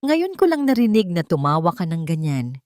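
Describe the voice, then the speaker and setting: A woman voicing a reserved, quietly tender male company boss in a story, with brief, measured remarks. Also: on the low side, big pitch swings, no echo, studio quality.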